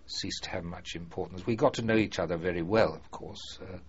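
Older guy, really low voice, lots of uhs, formal way of talking, dull old tape with a bit of hiss. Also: a little fast. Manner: hesitant, many uh fillers, formal "one" phrasing. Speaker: former prisoner of war, interviewee. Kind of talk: oral history interview. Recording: muffled archive recording with faint hiss.

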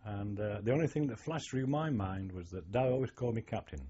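Older male, low husky mellow voice, reflective storyteller, lo-fi archive tape. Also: fairly quick. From England. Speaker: oral history interviewee, former navy sailor. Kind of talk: reminiscing oral history interview.

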